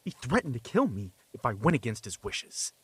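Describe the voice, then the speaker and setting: A man playing a bitter, jilted lover defying his employer in an audio drama, husky and heated in his accusing delivery. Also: medium pitch, sing-song, moderate pace, no echo. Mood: angry, accusing. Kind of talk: dramatic audio fiction acting.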